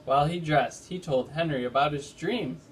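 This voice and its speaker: A man's voice: a little high, normal speed, rough recording. A man who talks casually and reads a children's story aloud like a teacher. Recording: noticeable background noise.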